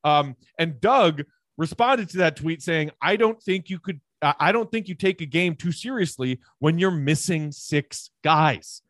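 A man with a slightly high voice hosts conversational sports talk. He lays out his argument point by point and leans on key phrases, in a very dry recording. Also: expressive, unhurried, hardly any pauses, fairly bright tone, no echo. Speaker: sports podcast host.

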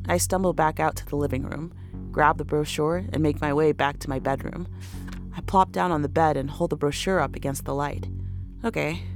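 A woman's voice: low-pitched, creaky. A woman playing an anxious, exhausted pregnant woman who narrates her own horror story in an intimate, weary manner. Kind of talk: first-person horror audio drama narration.

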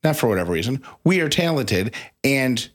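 A man's voice: medium pitch, sing-song, moderate pace. A man co-hosting a podcast in casual conversation, often rephrasing himself as he goes. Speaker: podcast co-host.

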